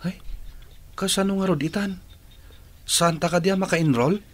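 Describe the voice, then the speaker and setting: An actor playing a dutiful, respectful young working student in a radio drama, with an Ilocano accent. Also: male voice, high-pitched, measured pace. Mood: curious, worried.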